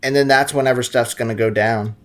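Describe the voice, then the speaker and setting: A man's voice: mid-range voice, steady tone, brisk, a bit bright. A young man casually joking and rambling through a video game playthrough. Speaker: gaming YouTuber. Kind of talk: casual let's-play commentary. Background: game music.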